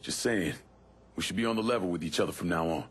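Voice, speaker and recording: An actor playing a tough, quietly probing avenger with a Southern American accent, blunt and laid-back, in a game cutscene. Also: male voice, deep voice, quick pace, husky. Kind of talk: dramatic game cutscene acting. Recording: faint music under the voice.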